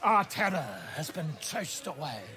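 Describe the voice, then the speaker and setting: A man's voice: on the high side, sing-song, a little slow, noisy background. A man playing a flamboyant theatrical bard in a video game, declaiming rhyming verse in a grandiose, dramatic manner. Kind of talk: declaimed rhyming poetry performance.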